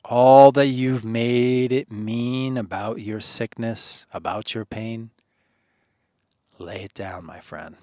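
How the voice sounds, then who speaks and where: Male meditation guide, soothing and earnest, very unhurried delivery, close dry sound. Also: medium pitch, fairly even intonation, warm tone, no echo. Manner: soothing, earnest, deliberate phrasing with pauses. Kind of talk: guided meditation, gentle encouragement.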